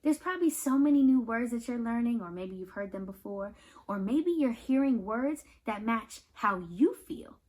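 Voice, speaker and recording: A woman's voice: fairly high pitch, expressive, moderate pace, a little mellow. An American woman hosting a children's read-aloud, speaking directly and reassuringly to young listeners and repeating phrases expressively for emphasis. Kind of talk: picture book read-aloud with talk to children.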